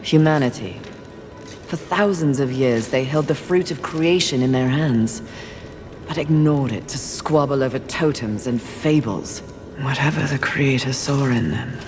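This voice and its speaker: A woman's voice: rumbling low, measured pace. Stern female apocalyptic warrior, imperious, scornful, curt, wrathful, video game cutscene, dramatic music behind.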